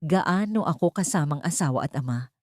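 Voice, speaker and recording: A woman's voice: deep voice, expressive, moderate pace, dry recording. Woman as brooding husband and father, guilt-ridden, troubled, audio drama, dry recording. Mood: ashamed.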